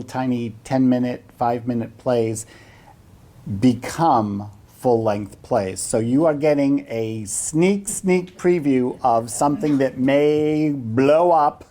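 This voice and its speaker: A host introduces a short play and reads its stage directions. The voice is mellow and warm, and the pace is slow and deliberate, with halting phrases. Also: mid-range voice. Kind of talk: introducing and narrating a play reading.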